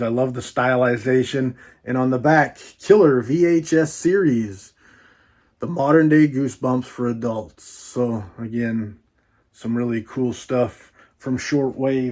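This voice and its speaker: A man's voice: medium pitch, unhurried, darkish tone. A man doing a casual, folksy book unboxing vlog, rambling playfully with repeats and little asides.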